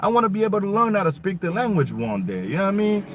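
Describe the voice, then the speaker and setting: A man's voice: high-pitched, very animated, rapid-fire, fairly bright tone, slight background noise. Young man from congo, casual rant, emphatic, rhetorical questions, english speaker. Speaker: vlogger. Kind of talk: casual opinion monologue.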